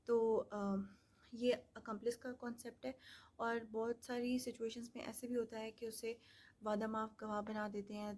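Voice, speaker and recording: A woman's voice: on the high side, darkish tone. A woman with a Pakistani accent explaining law in an informal lecture style, mixing in English legal terms.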